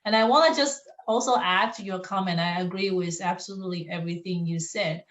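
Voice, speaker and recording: A middle-aged woman for whom English is a second language, moderating a college panel in a warm, reassuring manner with frequent restarts. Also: fairly low pitch, fairly quick. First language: not English. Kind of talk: encouraging panel talk. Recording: echoey room.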